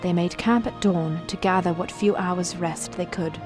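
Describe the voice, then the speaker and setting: A woman's voice: lowish voice, fairly even intonation, moderate pace, a little mellow, close to an everyday voice. A woman playing a solemn storyteller, narrating a fantasy audio drama in a literary manner. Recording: music clearly behind the voice.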